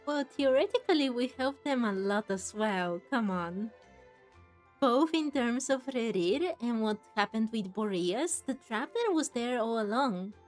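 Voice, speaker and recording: A woman's voice: on the high side, lively intonation, unhurried. A woman giving casual commentary as she plays through a game, chatty and musing aloud, with game music behind. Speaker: gaming streamer. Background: faint game music.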